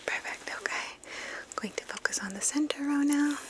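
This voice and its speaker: A woman whispering breathily and gently, slow and reassuring, close to the microphone, in an ASMR roleplay as a dentist. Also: sits high.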